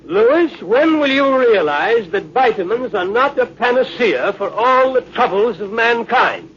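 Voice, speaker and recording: An actor playing a thoughtful, wry ship's doctor in a 1950s radio drama. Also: male voice, very high-pitched, animated, a little slow. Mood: wry, exasperated. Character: male ship's doctor, thoughtful, wryly exasperated. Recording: dull old radio recording, faint noise.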